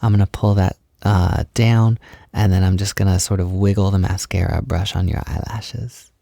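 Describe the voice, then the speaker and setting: Male, soft intimate roleplay, encouraging and gentle, gravelly fry, very dry close recording. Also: deep voice, level tone, normal speed, dry recording. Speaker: roleplay audio performer. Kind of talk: soft-spoken intimate roleplay, like a personal makeup tutorial.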